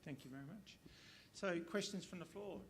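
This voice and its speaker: A man's voice: sits high, normal speed. A man moderating a panel forum, speaking formally and projecting his short questions across a large conference room. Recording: echoey hall, distant mic, loud noise.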